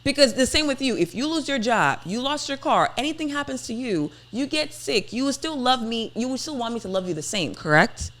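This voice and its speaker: A woman's voice: a little high, very animated, brisk, few pauses, fairly bright tone. Insistent young woman arguing her point. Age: 20s. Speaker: podcast host. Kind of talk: casual debate chat.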